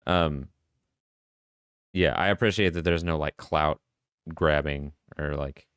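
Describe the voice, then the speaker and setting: A man's voice: rumbling low, fairly even intonation, slow, fairly bright tone, clear, resonant tone, no room sound, studio quality. A man co-hosting a podcast, chatting casually and giving his opinions with some swearing. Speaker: podcast co-host reviewing web horror series.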